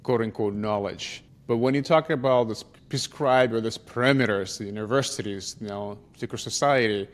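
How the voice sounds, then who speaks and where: A man's voice: fairly low pitch, normal speed, few pauses. Enthusiastic male podcast host. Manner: enthusiastic, conversational. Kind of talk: interview questions.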